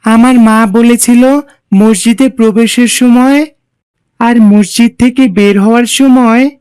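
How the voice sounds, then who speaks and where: Woman as clear, polite young cartoon boy. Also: fairly high pitch, steady tone, slow pace, darkish tone, no echo. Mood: earnest, polite. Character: polite, well-behaved, earnest young boy. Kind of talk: didactic cartoon dialogue.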